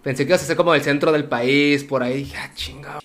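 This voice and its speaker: A man's voice: on the high side, fairly even intonation, fast talker, fairly bright tone. Laid-back Mexican man hosting an interview. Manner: laid-back, joking, uses slang. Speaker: host. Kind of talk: casual interview chat.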